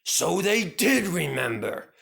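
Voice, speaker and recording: Guy doing old proud train engine from a kids story, prim n polite, very expressive voice. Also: high voice, takes it slowly, vocal fry, voice pushed up, exaggerated voice. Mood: pleased, fussy. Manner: prim and dignified. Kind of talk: children's storybook character acting.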